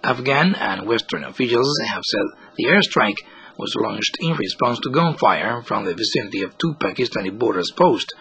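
Bright, forceful male news reporter. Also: on the low side, breathy edge. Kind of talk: scripted news commentary.

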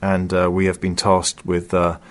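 Very flat, low-voiced man. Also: unhurried. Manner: hesitant, repetitive. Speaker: official spokesman. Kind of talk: interview answer.

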